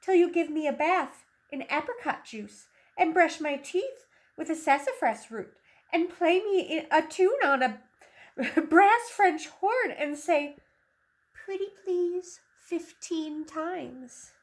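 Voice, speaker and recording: A woman voicing a stubborn, demanding female baby moose in a children's storybook, insistent and drawn-out. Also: extremely high pitch, expressive, voice pushed up, full character voice.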